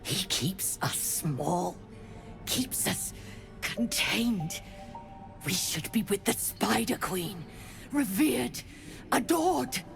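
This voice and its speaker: A woman's voice: mid-range voice, expressive, drawn-out words, gravelly, pauses often, over music, full character voice. A woman voicing a zealous giant spider in a video game, preaching solemnly and fervently.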